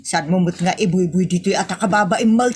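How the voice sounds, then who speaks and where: A woman voicing a nagging, quick-tempered wife in a comedic audio drama, complaining and scolding. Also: on the low side, darkish tone, a little hiss or hum, close to an everyday voice.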